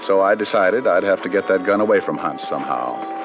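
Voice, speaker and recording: An American man playing a wry, hard-boiled private detective in vintage radio drama style, with flippant deadpan delivery. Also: deep voice, brisk. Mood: wry narration. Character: wisecracking, hard-boiled male private detective narrating his case. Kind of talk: 1940s-50s radio detective acting and narration. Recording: dull vintage radio recording with music.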